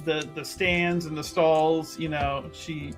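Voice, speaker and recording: A man with a fairly high voice talks through a tabletop game in an animated, jokey way. He is chatty and improvises casually as a player. Music plays faintly behind him. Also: slow pace. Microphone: streaming microphone on a remote call.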